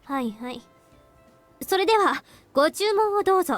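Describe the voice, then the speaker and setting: A woman's voice: very high-pitched, lively intonation, fast talker, no room sound, faint music behind. A woman voicing a polite but curt young cafe waitress in a visual novel, formal and matter-of-fact. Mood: businesslike. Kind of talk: Japanese visual novel voice acting.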